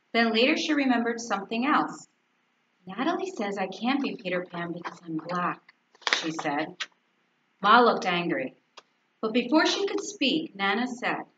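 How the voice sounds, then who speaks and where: A woman's voice: a little high, fairly bright tone. A woman teacher reading a children's storybook aloud. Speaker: fourth grade teacher. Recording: echoey, dull, faintly noisy recording.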